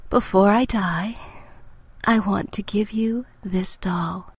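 Woman doing a dying mom in a fairy tale radio play, slow weak whispery talk, gentle and serious. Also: medium pitch, breathy edge. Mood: tender, fading. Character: dying young mother, tender and solemn. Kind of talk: fairy tale audio drama.

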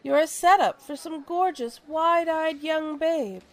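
An actress playing a possessive, teasing stage star who is insecure in love, in a radio drama with first-person narration. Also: female voice, high-pitched, measured pace. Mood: teasing, needy.

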